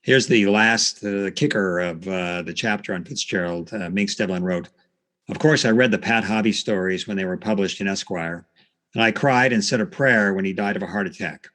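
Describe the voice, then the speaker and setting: A man hosting a podcast, telling stories from notes in a conversational, somewhat halting way. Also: fairly low pitch, fairly bright tone. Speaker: podcast host. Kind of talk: storytelling narration from notes, conversational.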